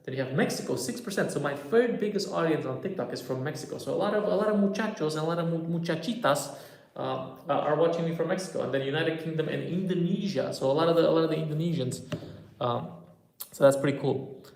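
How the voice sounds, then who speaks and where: A man's voice: fairly high pitch, fast, a little mellow. A man, a stand-up comedian chatting casually on a livestream and answering viewer comments as he goes. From Romania. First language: Romanian. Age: 30s. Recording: echoey room.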